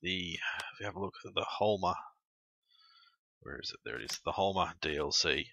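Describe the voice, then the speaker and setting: A man's voice: deep voice, normal speed, gravelly. A young man with a stuffed-up nose, rambling casually with lots of ums as he plays a farming game and talks to viewers. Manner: rambling, relaxed, many ums. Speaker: gaming channel host. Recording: loud game engine noise behind.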